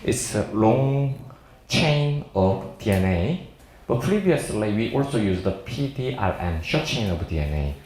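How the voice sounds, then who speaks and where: Casting: male seminar lecturer, forties, native Korean with accented, halting English, jovial manner, fairly low pitch, recorded in an echoey room. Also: very animated, a little mellow. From South Korea. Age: 40s. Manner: halting, jovial non-fluent English with frequent chuckles. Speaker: plastic surgeon lecturing at a medical seminar. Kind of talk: informal seminar presentation to an audience.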